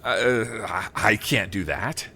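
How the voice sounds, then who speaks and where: A man's voice: lowish voice, animated, slow, pushed and bright, slight background noise, exaggerated voice. A man playing a humble, plain-spoken farmer from Iowa in an audio drama, a little hesitant and folksy. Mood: hesitant, concerned. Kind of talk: audio drama acting and first-person narration.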